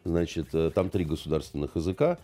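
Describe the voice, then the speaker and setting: A man's voice: a bass voice, sing-song, brisk, mellow. A middle-aged man in casual political chat, cutting in with blunt, curt remarks.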